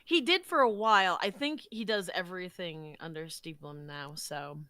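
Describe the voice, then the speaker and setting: A woman chatting casually as a podcast guest, expressive and effusive. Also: lowish voice, moderate pace, clean tone, no room sound, clean studio sound. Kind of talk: casual conversation.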